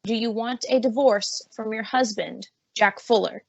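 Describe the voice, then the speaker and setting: A woman's voice: a little high, steady tone, measured pace, creaky, no room sound, lo-fi sound. Kindly formal judge, dry close sound woman acting. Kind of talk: old-time radio drama acting.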